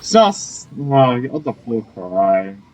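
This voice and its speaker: A man plays a game and comments casually as he goes. His pitch is average but moves around a lot. Faint game music sits behind his voice. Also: sing-song, slow pace, a little mellow. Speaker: gamer playing through a story quest. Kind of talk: casual reactive gameplay commentary.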